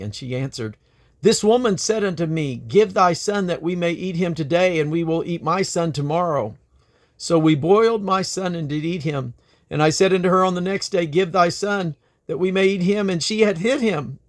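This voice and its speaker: A man reading the Bible aloud in a deliberate, expressive way. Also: on the high side. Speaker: scripture reader.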